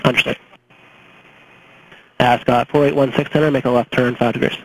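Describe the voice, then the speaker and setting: A man's voice: medium pitch, flat delivery, normal speed. A man working as an air traffic controller, giving instructions over aviation radio. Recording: faintly noisy air traffic radio recording.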